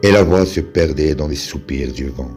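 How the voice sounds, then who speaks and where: A man solemnly reciting poetry in a measured, declamatory way with dramatic pauses. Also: a bass voice, darkish tone. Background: music.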